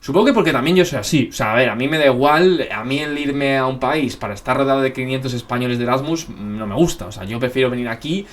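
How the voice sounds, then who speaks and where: A young man with a Madrid accent talks at a rapid clip as he hosts his own channel. His pitch sits in the middle range, and his delivery is loose and rambling, full of filler tags and restarts. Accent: Madrid Spanish. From Spain. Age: young adult. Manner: rambling, lots of filler tags and self-corrections. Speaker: basketball channel host. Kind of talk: casual Q&A monologue.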